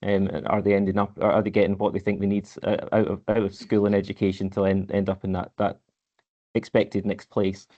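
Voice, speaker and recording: A man, a council head of service, answering questions at a committee meeting in a measured, hesitant way with many repeated words. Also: lowish voice, level tone, dull audio.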